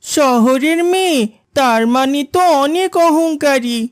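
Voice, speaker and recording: High, snippy young schoolgirl played by a woman. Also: a little slow, no room sound, studio quality. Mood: disdainful. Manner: snippy, accusing. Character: haughty, hostile young village schoolgirl. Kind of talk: cartoon character dialogue.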